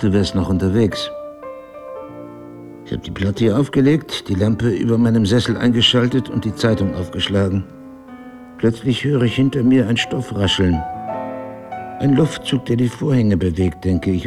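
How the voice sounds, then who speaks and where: An actor playing a sardonic middle-aged husband, a writer, in a German radio drama, probing with deliberate irony. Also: male voice, lowish voice, music in the background, natural voice. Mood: brooding.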